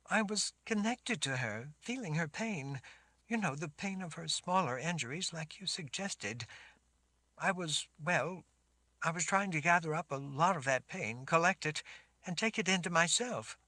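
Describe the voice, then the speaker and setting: A man's voice: on the high side, expressive, measured pace, bright, forceful tone, no room sound, voice pushed up. A man voicing a frightened, tearful young sorceress girl who sobs and pleads. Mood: shaky. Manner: sobbing, pleading questions.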